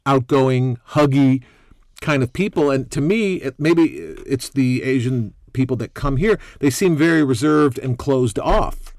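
A man's voice: medium pitch, unhurried, a little mellow, no echo. A man hosting a podcast, chatting casually and putting observations and questions to a guest. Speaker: podcast host. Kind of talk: casual chat, interviewing.

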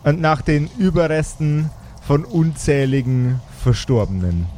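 A man narrating an improvised fantasy tabletop game as game master, with deliberate, theatrical phrasing and pauses, over faint noise. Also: on the high side, dark tone.

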